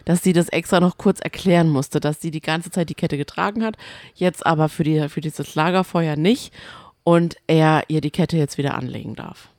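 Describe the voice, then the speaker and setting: A woman's voice: deep voice, normal speed, darkish tone, no echo. A woman, chatting casually as a podcast co-host about reality TV.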